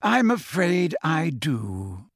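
Audiobook role: wise old male headmaster acted by a man, courteous, calm, gently ironic, widely varied intonation, dry close sound. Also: sits high, a little slow, dry recording, voice pushed up, heavily acted. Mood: gently apologetic. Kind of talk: audiobook character voice.

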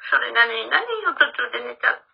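A woman's voice: on the high side, very animated, quick pace, gravelly, faint music behind, full character voice. A woman playing a pouty, fed-up mistress who nags and pleads with her married lover in an amateur radio drama. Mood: fed up, reproachful. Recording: muffled, distant microphone.

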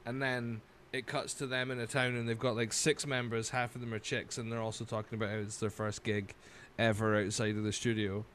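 A man's voice: mid-range voice, level tone, brisk, few pauses, fairly bright tone, slight background noise. A Scottish man telling rambling stories as a guest on a casual podcast, laughing as he goes. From Scotland. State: tipsy.

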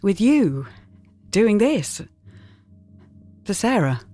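An actress playing a wistful, anguished mother in an audio drama, speaking in a halting, emotional way. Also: medium pitch, big pitch swings, dark tone, full character voice. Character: wistful, anguished mother reviewing her memories. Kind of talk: naturalistic audio drama acting. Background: music.

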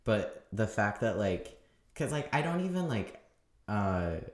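A young man co-hosting a casual TV recap chat, talking in a monotone, rambling with lots of fillers and frequent laughs. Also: on the low side, measured pace. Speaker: podcast co-host. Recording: echoey room.

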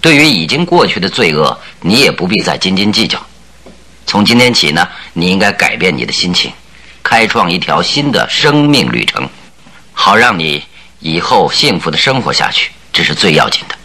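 Shrewd detective, very low voice, animated intonation, deliberate, compassionate, radio drama. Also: male voice, heavily acted. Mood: grave, consoling. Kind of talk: Mandarin radio drama acting.

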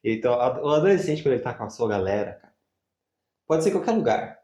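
A man's voice: medium pitch, very animated, mellow. A young man chatting casually as a co-host, slangy and full of fillers. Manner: fast, slangy, full of fillers like mano and velho. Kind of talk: casual chat. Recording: echoey room.

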